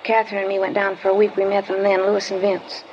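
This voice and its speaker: A woman playing a wary fifteen-year-old schoolgirl informant in a 1950s radio drama, guarded and halting. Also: medium pitch, monotone, close to an everyday voice. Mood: recounting. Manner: guarded, halting, evasive answers. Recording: dull lo-fi old radio recording, clear hiss.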